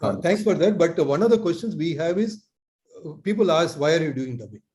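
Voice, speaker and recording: A man's voice: a little high, lively intonation, a little mellow. Earnest Indian man on a video call. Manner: earnest, many filler pauses. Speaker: lecturer. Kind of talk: online meeting talk.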